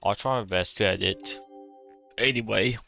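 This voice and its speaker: A man's voice: lowish voice, moderate pace, gravelly, sharp tone. A man giving casual, rambling playthrough commentary as a game streamer, repeating himself as he goes. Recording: game music behind.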